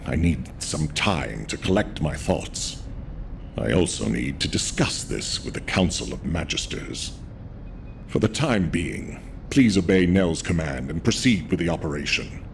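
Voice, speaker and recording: An actor playing a grave, burdened military commander in a video game, speaking in a measured, solemn, authoritative way. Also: male voice, rumbling low, lively intonation, gravelly, background noise, exaggerated voice. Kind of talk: scripted video game dialogue.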